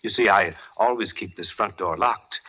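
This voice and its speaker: Low-voiced, creepy innkeeper, old radio. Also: male voice, moderate pace, breathy edge. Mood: falsely kind. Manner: folksy, insinuating, menacingly kind. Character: creepy, falsely solicitous middle-aged male hotel keeper. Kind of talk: 1940s American radio suspense acting. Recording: muffled, noisy 1940s radio recording.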